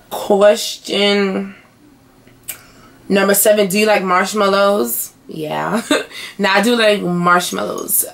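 A young woman vlogging in a small bedroom, hoarse and chatty as she answers tag questions to her webcam. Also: mid-range voice. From United States. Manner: chatty, animated hand gestures. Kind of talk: casual chat.

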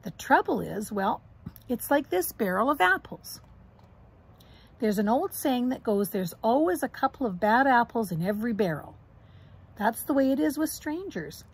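A middle-aged woman voicing a caring, patient storybook mother bear who gently explains and reassures. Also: a little high, sing-song, normal speed, breathy edge, heavily acted, rough recording. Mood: patient, reassuring.

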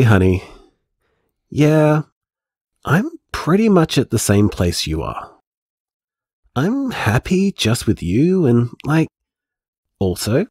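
An actor playing a thoughtful, loving boyfriend in an audio drama, talking tentatively and reflectively in a voice message. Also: male voice, mid-range voice, darkish tone, dry recording, studio quality. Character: thoughtful, loving boyfriend weighing things carefully.

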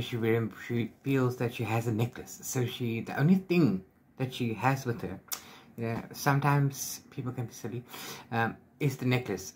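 A middle-aged man with a medium-pitched voice talks casually to the camera as a book vlogger. He rambles through his retelling in an animated way, tossing in little filler syllables as he goes. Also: slight background noise. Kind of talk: casual chat recapping a book. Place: small book-lined room at home.

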